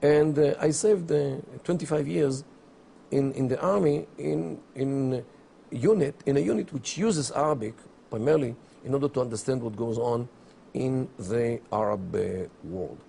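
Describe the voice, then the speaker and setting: A middle-aged man from Israel, not an English speaker, giving deliberate interview answers full of pauses and fillers. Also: medium pitch, gravelly, mellow, slight background noise. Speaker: interview guest, academic. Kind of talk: measured explanatory interview answers.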